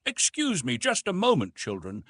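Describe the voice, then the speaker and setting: A fussy, absent-minded old professor from an adventure novel, played by a male narrator. His old-fashioned speech is pitched high and jumps about in animated swings. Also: moderate pace, breathy edge, heavily acted. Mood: flustered.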